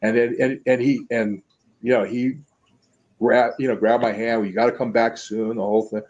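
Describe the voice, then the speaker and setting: A man's voice: mid-range voice, flat delivery, darkish tone, lo-fi sound. Rambling older man on a video call. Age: 70s. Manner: rambling, halting with many restarts. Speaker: guest. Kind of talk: casual storytelling. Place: home room.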